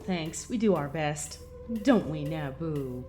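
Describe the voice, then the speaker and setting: A woman plays a friendly guide dog owner in an audio drama. She is polite and modest, her voice on the lower side, with light music behind her. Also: lively intonation, takes it slowly, dark tone.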